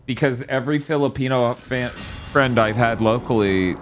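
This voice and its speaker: A man's voice: medium pitch, normal speed, soft background music. Joking American-raised male streamer. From United States. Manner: animated, joking, swears and laughs often. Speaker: streamer host. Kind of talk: casual chat over gameplay.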